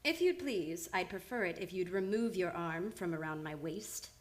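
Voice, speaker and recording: A woman's voice: lowish voice, lively intonation. A woman playing a precise, reserved young lady psychiatrist. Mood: cool, rebuffing. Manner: formal, measured, articulate. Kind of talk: old-time radio play acting.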